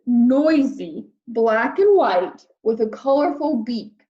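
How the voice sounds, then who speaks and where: A woman voicing a large, friendly blue whale in a children's storybook, with drawn-out, emphatic delivery. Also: fairly high pitch, expressive. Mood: helpful, confident. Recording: roomy echo, slightly dull sound.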